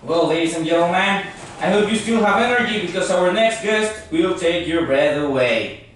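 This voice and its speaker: A man's voice: high-pitched, moderate pace, fairly bright tone. A man playing a philosopher who hosts a late-night talk show in an amateur skit. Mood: welcoming. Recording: echoey room, distant mic, faint noise.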